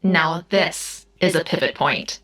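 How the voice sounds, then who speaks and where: A woman playing a gentle, solemn, all-seeing computerized being in an audio drama, speaking in a measured, cryptic way. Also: low voice, full character voice. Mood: calm. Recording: music under the voice.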